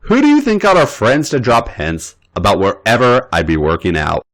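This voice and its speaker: A man voicing a cocky, teasing male wrestler in a story reading, raising his pitch a little. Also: very animated, normal speed, fairly bright tone. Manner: playful, teasing.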